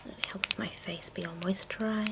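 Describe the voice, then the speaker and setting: A woman speaking in a breathy whisper in an ASMR style, softly describing things and repeating gentle words. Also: mid-range voice, fairly even intonation, rough recording. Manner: slow, repeats soft words as trigger sounds. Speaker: ASMR video creator. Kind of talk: ASMR, softly narrating personal makeup items.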